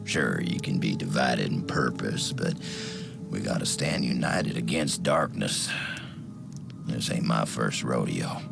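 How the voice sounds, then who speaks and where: An actor playing a folksy cowboy troubleshooter with a gravelly drawl. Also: male voice, rumbling low, lively intonation, a little slow, loud music behind the voice, exaggerated voice. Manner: folksy, laconic. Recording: game score playing loudly behind.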